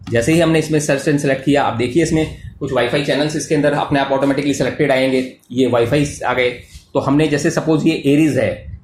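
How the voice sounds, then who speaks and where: An Indian man giving an unscripted step-by-step product demonstration as a tutorial presenter, repeating himself and mixing in English terms in a halting way. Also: fairly high pitch. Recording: echoey room, faint background noise.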